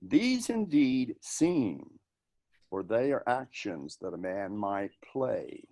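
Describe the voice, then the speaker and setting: A man playing a bitter, grieving young prince, reading Shakespearean verse in a somber, deliberate manner. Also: on the low side, very animated, warm tone, full character voice. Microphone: video call.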